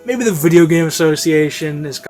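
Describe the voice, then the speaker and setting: A man's voice: fairly high pitch, brisk, darkish tone. A man giving a casual, unscripted review in a rambling, hesitant way, often interrupting himself. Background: classical instrumental music.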